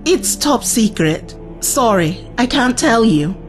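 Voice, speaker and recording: A woman's voice: on the high side, big pitch swings, takes it slowly, over music. A woman playing an earnest, evasive young traveller in an audio drama, guarded and hesitant in her answers. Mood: urgent, guarded.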